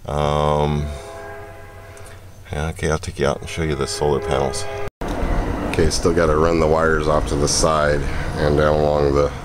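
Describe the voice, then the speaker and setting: Really deep-voiced guy rambling on a handheld cam, lots of uhs, noisy in the back. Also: level tone, moderate pace, pauses often. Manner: rambling, chatty, with many ums and uhs. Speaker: vlogger showing his homemade trailer. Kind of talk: casual handheld walkthrough. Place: outdoors at a parking lot, then inside a small trailer.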